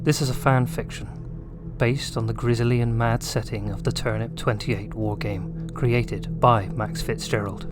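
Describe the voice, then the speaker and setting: A man giving scripted audio drama narration in a deliberate, dramatic storytelling manner. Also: mid-range voice, fairly even intonation. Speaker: narrator and writer. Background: loud music.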